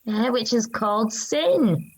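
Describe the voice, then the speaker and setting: An actress as a woman disciple in a dramatised ancient scripture, with a fairly high voice. She speaks extremely slowly and reverently, leaving long pauses as she solemnly recounts her vision. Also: slightly rough, low-quality recording. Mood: earnest, urgent. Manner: slow, halting, reverent delivery with long pauses. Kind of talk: dramatic monologue of an ancient religious text.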